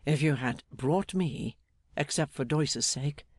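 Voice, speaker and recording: A man voicing an earnest, gentle, grateful younger gentleman in an audiobook. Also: a little high, takes it slowly, no room sound. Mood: moved, grateful. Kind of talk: dramatised audiobook character.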